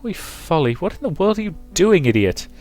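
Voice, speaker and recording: A man's voice: sits high, big pitch swings, brisk, darkish tone, soft background music, pitched above the performer's own voice. A man voicing a playful, bossy young noblewoman in a visual novel, teasing and mock-stern.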